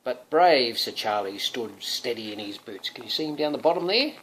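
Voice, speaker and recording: A man's voice: medium pitch, expressive, moderate pace, fairly bright tone, a little hiss or hum, rough recording. A man, a father, reading a picture book aloud to his young son, drawing out words expressively and adding chatty asides that prompt the child.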